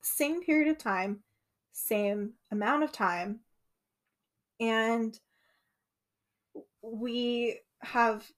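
A woman's voice: a little high, extremely slow, long pauses. A young woman co-hosting a casual conversation, talking freely with frequent "like" fillers. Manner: chatty, lots of "like" fillers. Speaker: podcast co-host.

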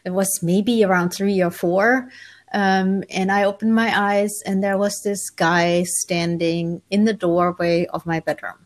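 A woman in her forties, raised in Germany, telling her story in an interview as a spiritual author, relaxed and thoughtful with frequent pauses. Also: mid-range voice. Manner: relaxed, thoughtful, many ums, light laughs.

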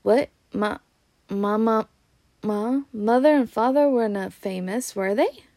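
A woman voicing a puzzled, polite young boy with a Scottish accent in a storybook reading, quiet and hesitant. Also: fairly high pitch, slow pace, no echo, studio quality.

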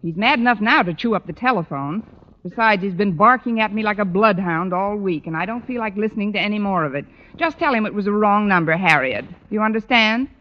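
An American actress playing a wry, put-upon high school English teacher in a 1940s radio sitcom, with a dry, sardonic delivery. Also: female voice, lively intonation, brisk, close to an everyday voice. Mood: flustered. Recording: dull old-time radio recording.

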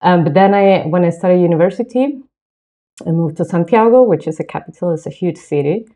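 Woman, 30s, interview guest, low voice, thoughtful and easygoing. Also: fairly quick. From southern Chile. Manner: relaxed and thoughtful, with fillers and soft laughs. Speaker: guest, lighting designer. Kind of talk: casual interview talk. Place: home.

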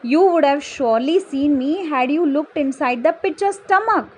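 Woman voicing a drowsy, high-pitched fat pumpkin. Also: animated, a little fast, darkish tone. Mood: smug, explaining. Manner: yawning, sleepy. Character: sleepy, fat pumpkin, untroubled and nonchalant.

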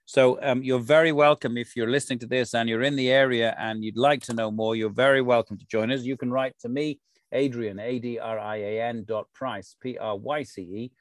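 A man's voice: medium pitch, dry recording. Dry-sounding man hosting. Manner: genial, with fillers. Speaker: podcast host. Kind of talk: conversational interview.

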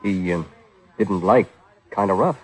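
An actor playing a wry, hard-boiled private detective who asks dry, clipped questions in an old radio drama. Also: male voice, sits low, unhurried. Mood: dry, skeptical. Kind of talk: 1950s radio detective acting.

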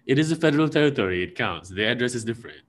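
A man's voice: medium pitch, animated, very fast. A young Malaysian man with a Malaysian accent, co-hosting a podcast in casual, rambling chat full of fillers and restarts. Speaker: podcast co-host.

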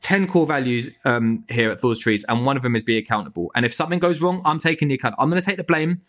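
A man's voice: medium pitch, fast talker. A man, a tree surgery business owner, chatting casually on a podcast with restarts and swearing. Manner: animated, swears freely, lots of restarts.

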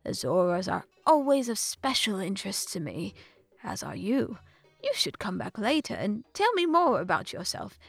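A woman's voice: medium pitch, very animated, normal speed, vocal fry, no echo, faint music behind. A woman voicing an old male professor, eager, inquisitive and theatrical, in a storybook narration. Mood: earnest. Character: excitable, curious old male professor and researcher.

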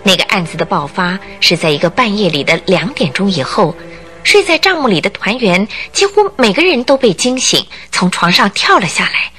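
Animated woman narrating radio drama over music. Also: mid-range voice, moderate pace, breathy edge. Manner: expressive, suspenseful storytelling. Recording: music bed under the narration.

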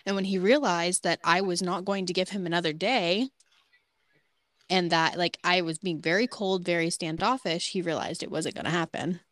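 A woman's voice: fairly low pitch, dry recording. A woman in her late twenties co-hosting a podcast, chatting casually and bluntly, with a frank, filler-heavy way of talking. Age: 20s. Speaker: podcast co-host. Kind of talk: casual chat.

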